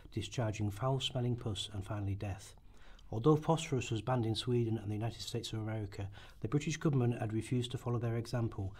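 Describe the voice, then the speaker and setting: Slightly husky man reading scripted narration. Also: fairly low pitch, a little mellow. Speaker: narrator in a radio play rehearsal. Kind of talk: scripted documentary-drama narration, read aloud.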